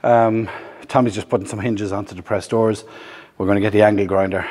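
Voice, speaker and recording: A man's voice: fairly low pitch, fairly even intonation, fairly quick, darkish tone. A man in his fifties with an Irish accent, hosting a casual camping vlog, chatty and upbeat as he explains the work, filmed on a camera held at arm's length in a workshop and a van. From Ireland. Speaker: vlogger host. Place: workshop yard and inside a van under build.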